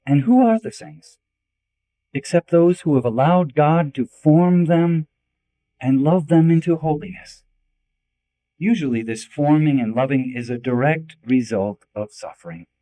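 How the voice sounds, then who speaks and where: A middle-aged man, a priest, reads a reflective audiobook foreword in an earnest, preacherly way with deliberate pauses. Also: fairly high pitch, lively intonation, dark tone, poor audio. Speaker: Jesuit priest and spiritual director reading a book foreword. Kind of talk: scripted audiobook narration, reflective.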